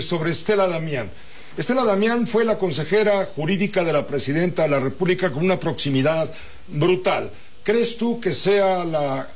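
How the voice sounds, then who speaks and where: A man with a high voice interviews a politician. He probes carefully, restating what he understands before putting each question. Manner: probing, restates points before asking.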